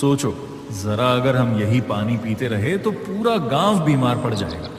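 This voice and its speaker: A man's voice: mid-range voice, expressive, measured pace, lightly acted. A man voicing a worried village man in a cartoon, urgent and earnest. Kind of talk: Hindi moral story cartoon dub. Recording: echoey reverb over faint music.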